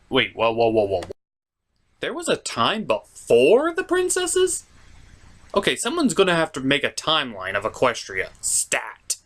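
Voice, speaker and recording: A man acts out a theatrical gentleman puppet with a raised, swooping voice. He delivers scripted comic commentary as a review show host, exclaiming and easily exasperated. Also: a little high, exaggerated voice. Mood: bemused recapping.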